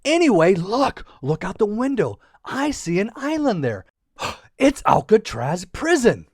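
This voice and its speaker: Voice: medium pitch, very animated, measured pace, slightly rough, no room sound, clean studio sound. A storyteller voicing a blunt, adventurous young boy in a children's space story. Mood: excited.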